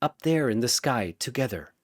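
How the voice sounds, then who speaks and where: A man voicing an earnest teenage boy fighter with an understated, deadpan manner in a battle story. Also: medium pitch, normal speed, no room sound, clean studio sound. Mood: earnest, tender. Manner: flat, understated.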